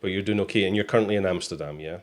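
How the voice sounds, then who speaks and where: A man's voice: sits low, racing. A Scottish man hosting a casual live show, chatty and joking, with plenty of fillers. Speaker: host. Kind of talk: casual livestream chat.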